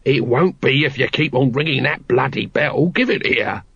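A man's voice: medium pitch, animated, moderate pace, a bit bright, breathy edge, no room sound. Man acting seedy cowardly male watchman, audiobook character, muttered slang, grumbling, uneducated, dull recording. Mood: grumbling, wary.

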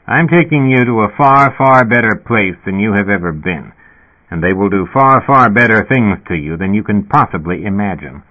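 A man's voice: on the low side, lively intonation, moderate pace, highs cut off. A man voicing a blunt, exasperated policeman in an audiobook, arguing with incredulity. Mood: menacing. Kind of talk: audiobook character voice.

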